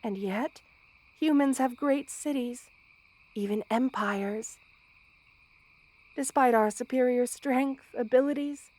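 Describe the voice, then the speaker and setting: Woman doing gentle old spider monster queen, high voice, motherly n soothing, kinda formal, asmr roleplay. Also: no echo. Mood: tender, reflective. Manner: formal, measured, tender, soothing phrasing. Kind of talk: ASMR roleplay, intimate scripted monologue to listener.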